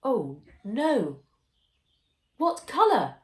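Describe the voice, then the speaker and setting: A woman's voice: fairly high pitch, wildly swooping pitch, extremely slow, voice pushed up, full character voice. A woman voicing a frank twelve-year-old girl heroine in a children's audiobook, matter-of-fact and exasperated. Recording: roomy echo.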